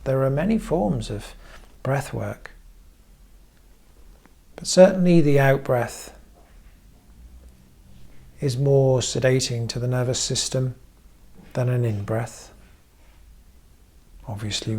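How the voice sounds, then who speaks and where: Gravelly-voiced man leading a slow meditation. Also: mid-range voice, darkish tone. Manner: measured, pausing often between short phrases. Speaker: therapist leading guided meditation. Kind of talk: guided meditation, gentle instruction.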